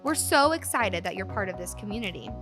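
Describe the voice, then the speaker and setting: Host, woman, friendly and encouraging, music bed clearly behind. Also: mid-range voice, animated, quick pace. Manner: warm, encouraging, upbeat. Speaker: podcast host. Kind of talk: scripted intro then interview.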